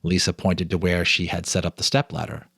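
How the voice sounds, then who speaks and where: Male storyteller with a lowish voice, deliberate and dramatic for ghost tales, recorded dry. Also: little pitch movement, gravelly, fairly bright tone, breathy edge, dry recording. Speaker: host and narrator reading a story. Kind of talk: dramatic ghost story reading with casual asides. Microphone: speaking to camera, reading off a teleprompter.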